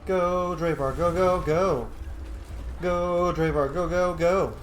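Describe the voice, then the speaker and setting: A man's voice: high voice, fairly even intonation, takes it slowly. A man giving casual, joking commentary as he roleplays through a video game. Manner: informal, joking. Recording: roomy echo, faint game noise behind.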